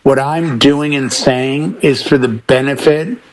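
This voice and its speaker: A man's voice: medium pitch, unhurried. A middle-aged man chatting casually on a panel as a DJ, speaking emphatically and repeating words to drive points home. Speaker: talk show panelist, professional DJ.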